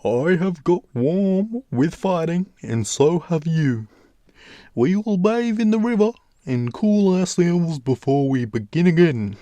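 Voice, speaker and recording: A man voicing a big, scheming, bullying storybook giant with a booming, drawn-out, forceful delivery. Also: animated, no room sound. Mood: sly. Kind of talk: storybook character voice.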